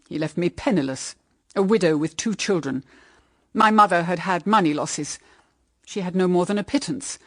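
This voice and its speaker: A woman's voice: mid-range voice, no echo, clean studio sound, lightly acted. An actress with a British accent playing a middle-aged widowed mother, solemn and anguished, in radio drama style. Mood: weary sorrow. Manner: solemn, intense, melodramatic. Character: anguished, bitter widowed mother pleading with her daughter.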